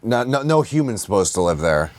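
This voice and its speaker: A man's voice: lowish voice, very animated, a little fast, darkish tone. A man trading sardonic, sweary banter as a podcast co-host in a casual movie chat.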